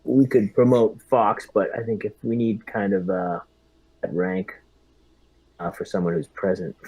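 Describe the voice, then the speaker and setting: A man playing in a tabletop roleplaying game, chatting casually with halting, searching phrasing. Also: fairly low pitch, fairly even intonation, warm tone, breathy edge, no room sound, low-quality recording. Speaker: player acting as squad lieutenant.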